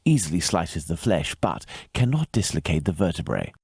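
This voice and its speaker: A gentleman detective from a mystery game, with an English accent. His voice is low and slightly rough, rising and falling in lively fashion as he lays out things precisely and formally. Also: normal speed, no echo, studio quality, full character voice. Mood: analytical. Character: keen, methodical male gentleman detective, coolly self-assured. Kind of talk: video game detective dialogue.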